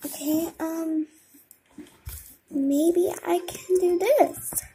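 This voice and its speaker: A woman's voice: very high-pitched, fairly even intonation, very slow, dark tone, a little hiss or hum. A young girl giving casual gameplay commentary, thinking aloud in a childlike murmur to herself. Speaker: gamer. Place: indoors at a computer, screen filmed by camera.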